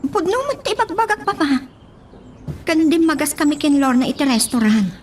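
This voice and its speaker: A woman's voice: high voice, normal speed, a little mellow, a little hiss or hum, lightly acted. A woman playing a lovesick, headstrong young daughter in an audio drama, emotional and defiant.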